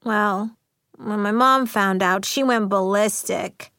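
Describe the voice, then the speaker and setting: A woman voicing a hurt but brave schoolgirl in an audiobook, measured and vulnerable as she recounts a memory. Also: medium pitch, bright, forceful tone, no echo, clean studio sound. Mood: hurt, subdued.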